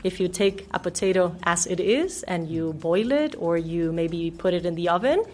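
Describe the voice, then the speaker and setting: A woman from Denmark and Mexico with American-sounding English, a nutrition expert explaining things clearly as a radio studio guest. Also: on the low side, moderate pace, darkish tone. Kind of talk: radio interview.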